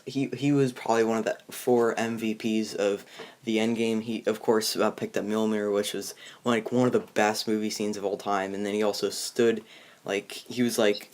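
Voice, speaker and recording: A young man with a fairly low voice hosts an informal movie discussion. He rambles in a halting way, feeling out his thoughts as he goes. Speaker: host of a movie review video. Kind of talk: casual chat reviewing a film.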